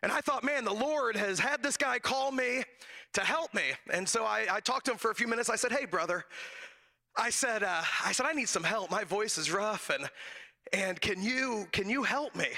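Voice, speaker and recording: A raspy, hoarse man preaches from the pulpit, his voice pitched high and bright. He spins a folksy personal story and breaks into laughter again and again. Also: animated, normal speed. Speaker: guest preacher. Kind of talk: sermon with humorous personal story. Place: church sanctuary, from the pulpit. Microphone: handheld microphone.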